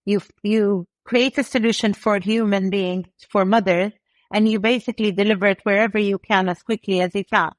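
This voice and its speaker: A woman in middle age who grew up in the UK, speaking as an interview guest. She is confident and animated, with a mid-range voice recorded close and dry. She builds her points with vivid examples. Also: medium pitch, a little fast, dry recording. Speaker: company co-founder, guest. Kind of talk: casual interview talk.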